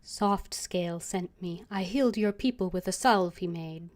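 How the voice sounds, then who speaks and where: A woman's voice: on the low side, moderate pace, darkish tone. A woman game streamer, reading out dialogue and commenting casually as she plays.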